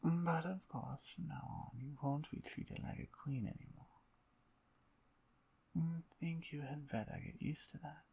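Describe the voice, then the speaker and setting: Woman acting a breathy, slow-talking vampire conqueror. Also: sits low, dark tone, exaggerated voice. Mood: softly dominant. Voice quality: breathy, often near a whisper. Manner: imperious, taunting, deliberate. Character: dominant, playful, menacing female vampire conqueror. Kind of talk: ASMR roleplay acting.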